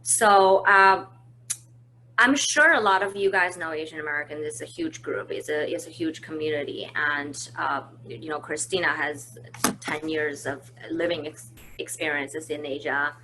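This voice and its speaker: A woman's voice: sits low, steady tone, a little slow, pushed and bright, a little hiss or hum, rough recording. A woman hosting a conversational business webinar from home, easygoing and full of fillers and restarts. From China. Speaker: webinar host, marketing manager. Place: at home, indoors.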